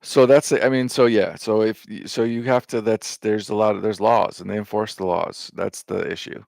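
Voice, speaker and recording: Gravelly low-voiced man rambling casually. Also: fairly even intonation, moderate pace, darkish tone, no echo. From United States. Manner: loose and meandering, with lots of fillers, restarts and swearing. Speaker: vlogger.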